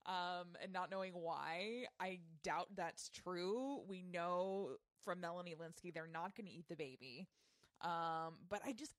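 A woman's voice: mid-range voice, no room sound, clean studio sound. A woman hosting a podcast, giving casual, emphatic and wry conversational commentary. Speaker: podcast host.

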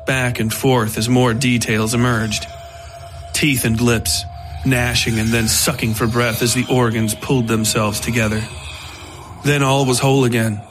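A man reading scripted horror fiction as a narrator. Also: mid-range voice. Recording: music behind the voice. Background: music.

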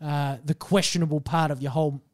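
An Australian man co-hosting a podcast in casual chat, hoarse after losing his voice, swearing and telling stories animatedly. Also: fairly high pitch, fairly even intonation, brisk, darkish tone. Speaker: podcast co-host.

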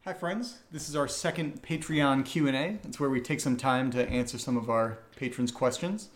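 A man's voice: medium pitch, normal speed. A man hosting a podcast Q&A in a casual, chatty style. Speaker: podcast host and voice actor. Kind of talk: casual chat, Q&A. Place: indoor room, live-streamed session. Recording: echoey room.

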